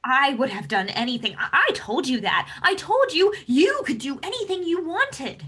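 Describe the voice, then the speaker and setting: A woman's voice: high voice, sing-song, moderate pace, a bit bright. A young woman playing a pragmatic, defensive but caring older sister in a stage drama, speaking insistently and persuasively. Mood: defensive, regretful. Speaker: student actor. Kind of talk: naturalistic stage drama acting.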